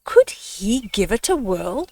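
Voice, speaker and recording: An older woman hosting a children's storytime, reading a picture book aloud in a measured, dramatic way. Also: mid-range voice, sing-song, dark tone, no echo, distant mic.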